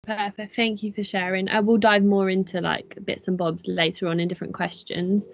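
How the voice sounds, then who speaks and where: A woman's voice: medium pitch, little pitch movement, normal speed, creaky, dry recording, dull audio. A young woman facilitating a panel discussion, polite and a little hesitant, with plenty of fillers. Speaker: student facilitator. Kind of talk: moderating a panel.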